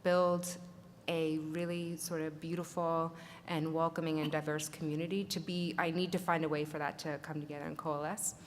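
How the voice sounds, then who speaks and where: A woman with a lowish voice speaks at a panel, sounding grateful and pausing often on fillers. The sound rings with a long reverb, and a faint noise sits behind it. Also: flat delivery. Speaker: artist presenting at a panel. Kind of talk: conference talk.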